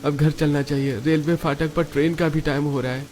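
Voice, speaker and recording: Man acting a high-pitched, humble street vendor. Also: steady tone, fairly quick, darkish tone, close to an everyday voice. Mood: weary. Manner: earnest, pleading. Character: poor, humble, honest male momo street vendor. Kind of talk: Hindi cartoon story dialogue. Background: background music.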